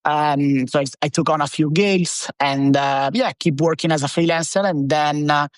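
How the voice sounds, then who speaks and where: A man's voice: on the high side, fairly even intonation, moderate pace, smooth, no echo, studio quality. Italian man, casual and rambling. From Italy. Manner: loose, rambling, with many fillers and restarts. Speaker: podcast guest. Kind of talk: casual interview chat.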